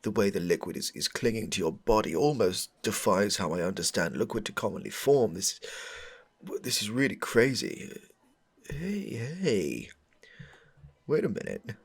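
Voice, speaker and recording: Man playing a gravelly, deep-voiced, stammering smitten guy. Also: very animated, normal speed, full character voice. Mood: awestruck, flustered. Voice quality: low, gravelly, husky. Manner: stammering, earnest, overdramatic. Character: smitten, rambling, darkly suspicious man meeting his crush. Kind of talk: comedic audio roleplay, spoken to the listener.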